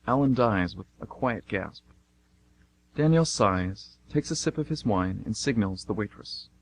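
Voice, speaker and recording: A man's voice: fairly low pitch, lively intonation, a little slow. A man reading scripted narration for an amateur radio play.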